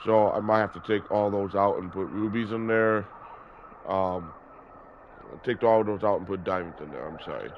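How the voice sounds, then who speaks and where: A man's voice: fairly low pitch, moderate pace. A man in his early thirties hosting a gaming video, stuffy and sore-throated from a cold, rambling with many fillers and correcting himself. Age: 30s. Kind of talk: casual gameplay commentary. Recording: dull lo-fi audio with background noise.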